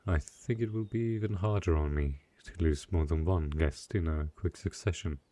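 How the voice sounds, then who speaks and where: Man acting a deep, gentle, lonely dark knight. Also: normal speed, close to an everyday voice. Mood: anxious, vulnerable. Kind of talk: slow, intimate fantasy audio roleplay.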